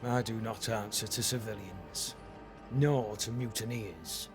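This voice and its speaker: An actor playing a proud, defiant captain in a video game, with a haughty and formal way of talking. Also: male voice, on the low side, a little slow, over music. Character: proud, defiant male captain facing mutiny. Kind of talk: dramatic video game acting.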